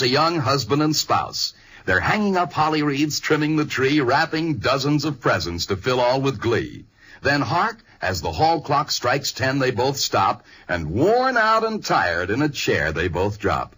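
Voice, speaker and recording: A male American announcer tells a rhyming story for a vintage radio commercial. He is theatrical and sing-song, with a husky voice and hugely varied intonation. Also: medium pitch, big pitch swings, unhurried, bright, forceful tone, low-quality recording. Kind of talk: rhymed storytelling radio advertisement, 1950s.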